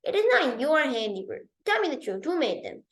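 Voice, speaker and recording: A woman's voice: high-pitched, big pitch swings, fairly quick, reverberant. A woman voicing a stern, shrewd older king in a storybook, imperious and questioning. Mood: commanding.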